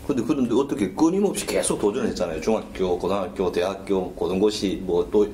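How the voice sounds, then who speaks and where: Deep-voiced male interviewer, formal and polite. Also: fast talker, dark tone, reverberant, slight background noise. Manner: formal, polite questioning with frequent short backchannels. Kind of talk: oral history interview.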